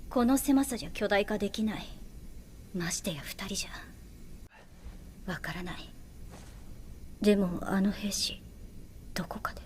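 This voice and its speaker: An actress playing a shrewd, languid young woman soldier in anime, calm and teasing, with a soft, unhurried, analytical way of speaking. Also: mid-range voice, slight background noise, close to an everyday voice. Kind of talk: Japanese anime voice acting. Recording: dialogue over background music.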